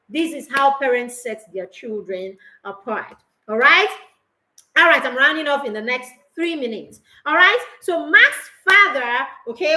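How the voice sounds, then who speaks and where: A woman's voice: high-pitched, sharp tone, roomy sound, rough recording. A middle-aged woman giving parents a talk, emphatic, repeating her key lines and asking them questions. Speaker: parenting mentor.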